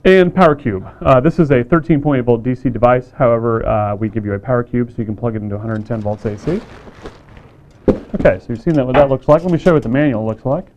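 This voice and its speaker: A man casually demonstrating a radio kit, plain-spoken and relaxed, with frequent fillers. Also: medium pitch, quick pace, lo-fi sound, slight background noise. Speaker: company staff demonstrating a kit.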